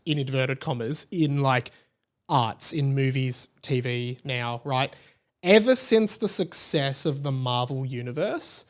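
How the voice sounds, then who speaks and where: Higher-voiced Australian man, podcast host. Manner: opinionated, emphatic, conversational. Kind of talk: casual solo pop-culture commentary.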